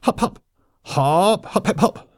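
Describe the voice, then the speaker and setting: Shrill, pompous lemming colonel. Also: male voice, sing-song, fairly quick, a little mellow, dry recording, studio quality, exaggerated voice. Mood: barking. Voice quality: squeaky, shrill. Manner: barked, pompous military bluster. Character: pompous, vicious lemming-man army colonel, shrill and barking. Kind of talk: audiobook character voice.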